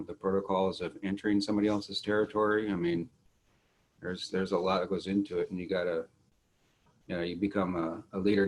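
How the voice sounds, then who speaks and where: A middle-aged man speaks on a video call through earbuds. His voice sits very low with little rise and fall, and he delivers his thoughts in an earnest, steady way. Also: low-quality recording. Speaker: guest artist. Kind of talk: casual conversational talk.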